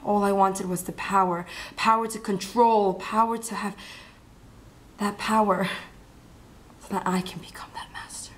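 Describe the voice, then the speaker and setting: A woman's voice: medium pitch, steady tone, measured pace, a little hiss or hum, lightly acted. A woman playing a scheming, power-hungry male vampire count in an amateur dramatic monologue. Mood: bitter, brooding.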